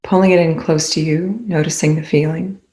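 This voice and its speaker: Woman doing guided meditation, low voice, very even tone, slow phrases w lots of pauses.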